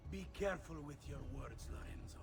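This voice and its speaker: An actor playing a pompous, treacherous Renaissance official with an Italian accent, speaking formally and theatrically in a video game cutscene. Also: male voice, fairly high pitch, animated, brisk, vocal fry, music in the background. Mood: scornful, menacing.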